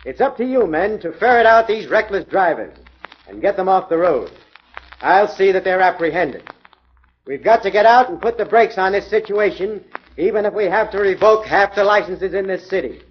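An actor playing a stern middle-aged police inspector in a 1940s radio drama. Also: male voice, sits high, lively intonation, moderate pace, breathy edge. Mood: commanding. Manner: commanding, addresses detectives. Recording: dull 1940s radio recording.

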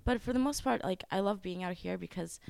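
A young woman from Ukraine who grew up in the Bay Area, a podcast guest chatting easily and fast, full of "you know.". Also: mid-range voice, gravelly, darkish tone, dry recording. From Ukraine, raised in the San Francisco Bay Area. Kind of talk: casual interview chat.

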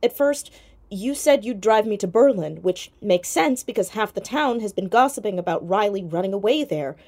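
A woman's voice: mid-range voice, expressive, moderate pace, darkish tone. Persistent teenage girl sleuth. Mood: probing, assertive. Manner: probing, direct questioning. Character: sharp, persistent fourteen-year-old girl detective. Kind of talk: audio drama acting, naturalistic.

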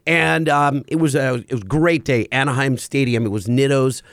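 Hoarse man co-hosting a podcast. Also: medium pitch, steady tone, normal speed, a bit bright, no echo. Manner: rambling, self-correcting. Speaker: podcast co-host. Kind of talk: casual podcast chat. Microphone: headphones and studio mic.

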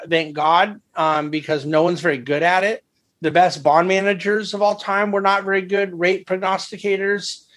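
A man's voice: fairly high pitch, fairly bright tone. A man speaking as a market commentator on a conference call, thoughtful and hedging, often restarting his sentences. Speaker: investment firm head and market commentator. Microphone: phone or conference call line.

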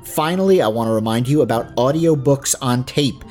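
A man's voice: medium pitch, lively intonation, moderate pace, darkish tone. A man hosting a podcast, reading sponsor messages in a conversational way with informal asides. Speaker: podcast host. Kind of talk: casual sponsor read. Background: faint music.

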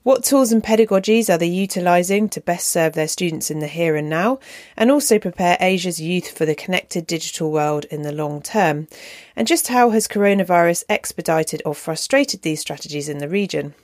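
A woman hosting a podcast, reading a measured, prepared introduction. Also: fairly low pitch, a little mellow, no room sound, clean studio sound. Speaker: podcast host.

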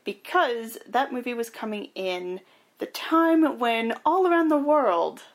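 Chatty woman podcast host with higher voice. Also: sing-song, slow pace. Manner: chatty, informative recapping. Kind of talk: casual podcast chat.

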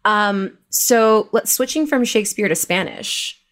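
A woman's voice: medium pitch, lively intonation, unhurried, a bit bright. A woman hosting a podcast, interviewing casually and feeling her way through her sentences. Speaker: podcast host.

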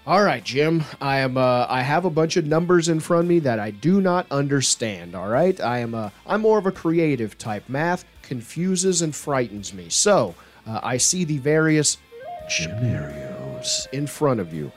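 A man's voice: mid-range voice, sing-song, a little slow, faint music behind. A man hosting a sports podcast, talking casually in a jokey, self-deprecating, colloquial way. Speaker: podcast host.

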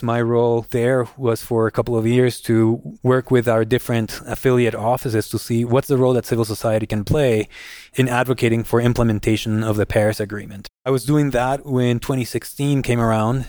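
A middle-aged man from Guatemala, a guest in an interview, telling his career story in a measured, steady way. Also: medium pitch, no echo, clean studio sound. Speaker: guest, nonprofit executive director. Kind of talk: conversational interview answer.

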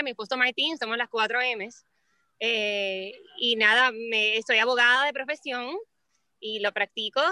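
A woman's voice: fairly high pitch, bright, forceful tone, clean tone, no echo. A woman with a Puerto Rican accent chatting casually as an interview guest. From Puerto Rico. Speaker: interview guest, lawyer and mother. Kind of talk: casual chat. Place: outdoors by the beach.

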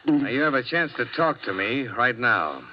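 An actor playing a steady, clipped insurance investigator who also narrates, in a 1950s radio drama. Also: male voice, mid-range voice, normal speed, a little hiss or hum, lightly acted. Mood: firm. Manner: cool, firm, clipped. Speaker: narrator and lead detective. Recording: dull lo-fi old radio broadcast.

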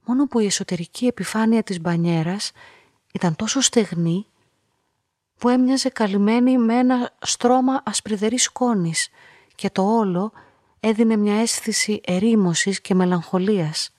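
Woman acting a reflective young female narrator, husky, mid-pitched, measured literary delivery, very dry close sound. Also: a little mellow, no room sound, lightly acted. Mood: observant, pensive. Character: reflective solitary young woman recounting her own strange story. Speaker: narrator of a radio play. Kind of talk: literary first-person dramatic narration.

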